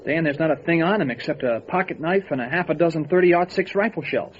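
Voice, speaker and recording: Guy playing plain spoken deputy in a radio play, doubting sidekick, higher male voice, dull lo-fi audio. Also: fast talker, close to an everyday voice. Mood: shaken, amazed. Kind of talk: old-time radio drama acting. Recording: dull lo-fi radio drama recording, faint noise.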